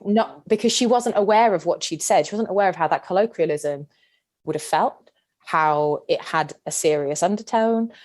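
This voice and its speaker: A woman in her thirties from Yorkshire, England, speaking as a podcast guest in an earnest, measured way. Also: lowish voice, darkish tone. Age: 30s. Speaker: guest, PE teacher and former athlete. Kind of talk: reflective podcast interview.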